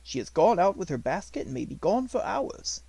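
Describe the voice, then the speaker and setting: A man voicing a devoted, respectful Indian manservant in a storybook, speaking in formal, poetic, measured phrases. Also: high-pitched, big pitch swings, gravelly, warm tone, slightly rough, no echo, voice pushed up, heavily acted.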